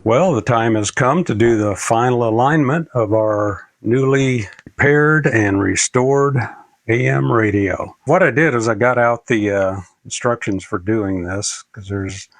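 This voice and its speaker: An older man giving a casual, unscripted how-to demonstration, talking in a halting, plain-spoken, folksy way as he explains each step. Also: mid-range voice, no echo. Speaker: presenter of a radio repair tutorial.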